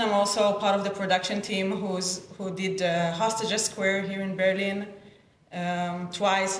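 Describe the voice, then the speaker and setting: A woman from Israel tells her story as an activist on a panel. She speaks haltingly, with frequent hesitations, in a flat, barely varying tone. The room around her is noticeably echoey. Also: lowish voice, a little hiss or hum. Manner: halting, with many pauses and hesitations. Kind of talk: personal testimony at a panel discussion. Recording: roomy, echoing event space.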